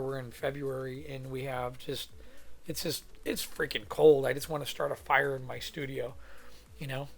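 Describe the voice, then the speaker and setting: A man from California with a hoarse voice from a cold, thinking aloud in a rambling, casual way as he teaches a drawing demo in his home studio. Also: on the high side. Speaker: art instructor.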